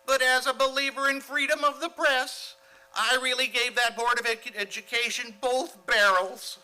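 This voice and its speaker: Radio comedy role: overdramatic teen schoolboy, very shrill and high, earnest, florid, indignant. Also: male voice, level tone, normal speed, pushed and bright, smooth, heavily acted, low-quality recording. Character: eager, indignant, grandiloquent teenage schoolboy. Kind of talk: old-time radio comedy acting.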